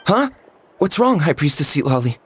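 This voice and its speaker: A man's voice: on the high side, sing-song, normal speed, a little hiss or hum. A man playing a meek, earnest young tribesman in a video game, hesitant and apologetic. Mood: puzzled, doubtful.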